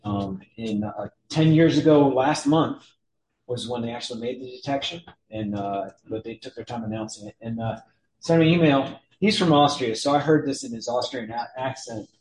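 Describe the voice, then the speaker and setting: A man's voice: medium pitch, moderate pace, darkish tone, reverberant, poor audio. A man introducing a guest speaker at a club meeting, hesitant and full of uhs.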